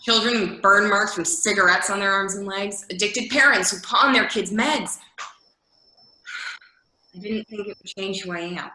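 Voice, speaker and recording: A woman's voice: mid-range voice, moderate pace, pushed and bright, slight background noise, lightly acted. A woman playing a middle-aged, world-weary caseworker who is guarded but caring, in a readers theater scene. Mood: weary, bitter. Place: indoor room on a video call. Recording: echoey room on video call.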